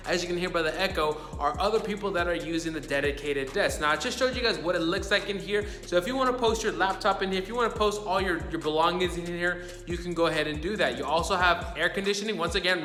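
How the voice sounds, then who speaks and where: A man vlogging a walking tour of a hostel, chatty and energetic, often calling out to viewers as guys. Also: sits high. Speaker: travel vlogger. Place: hostel, moving between rooms.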